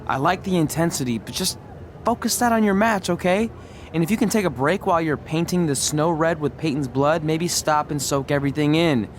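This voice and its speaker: A man's voice: on the high side, fairly quick, a little hiss or hum, close to an everyday voice. A man playing a casual, wisecracking, supportive young wrestler friend in a video game cutscene. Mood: calming, encouraging. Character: joking, supportive young male wrestling buddy.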